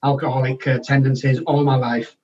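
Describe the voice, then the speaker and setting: A man's voice: medium pitch, level tone, brisk, darkish tone. A middle-aged man from England with a Manchester accent, speaking frankly and matter-of-factly as an interview guest. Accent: Manchester English. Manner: frank, matter-of-fact, with restarts. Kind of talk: candid personal storytelling. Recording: distant, dull-sounding remote recording.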